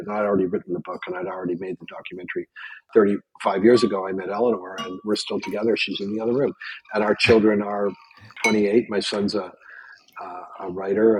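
An older man from Canada, whose first language was Yiddish, telling stories in a casual podcast chat with lively restarts. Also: on the low side, a little hiss or hum, poor audio. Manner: animated storyteller with frequent restarts. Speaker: guest.

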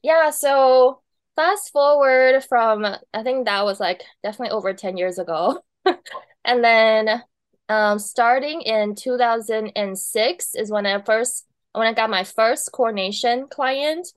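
A woman's voice: a little high, measured pace, clean tone, dry recording. A woman from Taiwan, a wedding planner, chatting casually as an interview guest, laughing often between thoughts.

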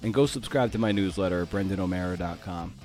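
A man's voice: sits low, fast, a little mellow, soft background music. A man hosting a podcast in a casual, informal, chatty way. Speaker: podcast host and interviewer.

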